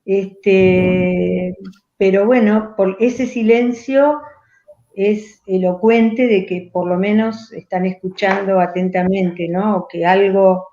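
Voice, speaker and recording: Lady writer, italian dialect was her first tongue, pauses a lot, roomy lo-fi call sound. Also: medium pitch, fairly even intonation, warm tone. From Argentina. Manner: thoughtful, pausing, restarts phrases. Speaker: writer being interviewed. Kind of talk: reflective conversation. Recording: roomy, dull lo-fi video call audio.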